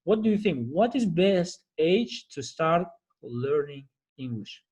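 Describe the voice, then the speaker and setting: Male podcast host, young adult, Kazakh accent, slightly high pitch, casual, halting delivery. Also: very animated, a little mellow, breathy edge. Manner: halting, searching for words. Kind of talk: casual interview conversation.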